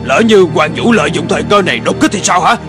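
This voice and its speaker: A harsh, impatient officer in a cartoon war epic. He scolds brusquely in a high, quick voice, with loud music underneath. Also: animated. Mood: angry, dismissive. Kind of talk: Vietnamese TV dub of an animated historical war epic. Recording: loud dramatic music behind.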